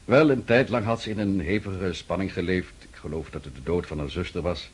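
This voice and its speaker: An actor playing a calm, reassuring doctor who chats gently with his patient in a radio drama. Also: sits low, a little fast, slight background noise, lightly acted. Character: calm male doctor, soothing and anecdotal.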